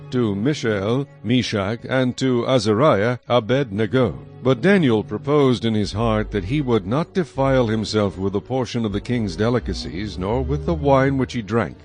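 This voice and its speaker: Low-voiced solemn man narrating scripture. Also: darkish tone. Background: faint music.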